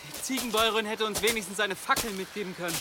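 A man's voice: high voice, fast talker, background noise, lightly acted. An actor playing a fearful, weary young man on the run in a German radio drama, plaintive and complaining. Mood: anxious, miserable.

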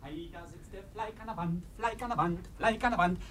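An actor playing a roguish, earthy fool and idle vagabond in a classic radio play, clownish and mock-solemn. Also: male voice, sits high, fairly quick, a bit bright, husky. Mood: sing-song, teasing.

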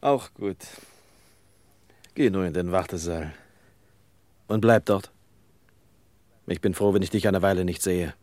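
An actor playing an irritated, bewildered middle-aged husband, curt and impatient, in a German radio drama. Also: male voice, sits low, a little slow, long pauses, darkish tone, dry recording.

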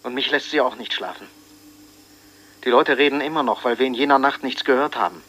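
Weary neighbour man played by an actor, bright edge to the voice. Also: medium pitch, fairly quick, close to an everyday voice. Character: sleepless troubled male neighbour.

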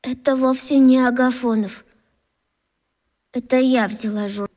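A woman's voice: high-pitched, steady tone, unhurried, a little mellow. An actress playing a polite, timid schoolgirl in a children's radio play. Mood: confessing. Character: timid, polite schoolgirl hosting her friend at home.

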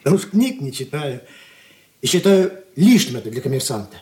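An actor playing a boastful, wheedling young merchant husband with a glib, clowning manner in a stage-style radio drama. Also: male voice, mid-range voice, big pitch swings, normal speed, mellow, close to an everyday voice.

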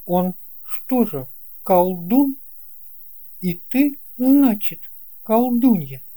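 Young storybook lad, acted by a woman: puzzled, wondering, doubtful, soft-toned with a raised voice. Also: on the high side, animated, extremely slow, voice pushed up. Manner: questioning, wondering. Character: curious, puzzled young boy, sensitive and doubtful. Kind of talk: children's audio storybook dialogue.

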